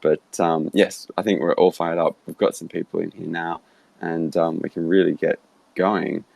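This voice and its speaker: A man's voice: sits low, level tone, normal speed, gravelly, a little mellow, dry recording. An Australian man from Sydney hosting an online audio room, chatty and easygoing, laughing easily. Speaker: host. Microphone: online voice call.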